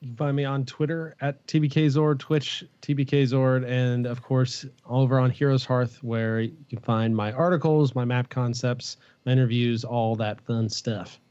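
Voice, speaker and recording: A man appearing as a podcast guest, talking in a brisk, matter-of-fact way. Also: medium pitch, fairly even intonation, a little mellow, clear, resonant tone, lo-fi sound. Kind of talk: casual podcast chat.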